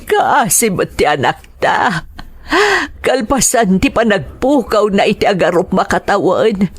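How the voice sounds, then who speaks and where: A woman's voice: medium pitch, animated, measured pace, husky, no room sound. An actress with an Ilocano accent playing an anguished, indignant middle-aged mother in a radio drama, pleading emotionally. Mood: indignant grief. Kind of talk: Filipino radio drama acting.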